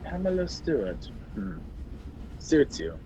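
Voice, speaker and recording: A man playing a polite, quick-witted fugitive wrongly on the run, in a radio play recorded over a video call. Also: medium pitch, big pitch swings, warm tone. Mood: charming, furtive. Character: hunted young man, polite, quick-talking, indignant fugitive. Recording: dull, noisy home video call.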